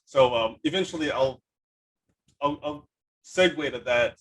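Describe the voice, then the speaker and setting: A man's voice: mid-range voice, a little slow, fairly bright tone. A man hosting a podcast in a casual, eager and effusive chatty style. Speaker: podcast host. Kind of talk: casual chat, interviewing.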